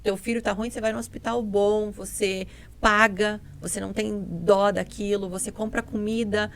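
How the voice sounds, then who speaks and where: A woman's voice: medium pitch, flat delivery, moderate pace. A woman chatting on a podcast as a guest, telling stories bluntly and emphatically, often acting out dialogue and repeating herself. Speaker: podcast guest, dental mentoring business partner. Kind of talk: casual podcast conversation, animated storytelling.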